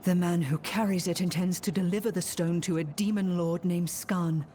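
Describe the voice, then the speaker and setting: A woman's voice: fairly low pitch, steady tone, normal speed, warm tone, a little hiss or hum, natural voice. A woman voicing a determined, grim necromancer adventurer in a fantasy game, speaking formally and with earnest restraint. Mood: urgent, earnest. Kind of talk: fantasy video game acting.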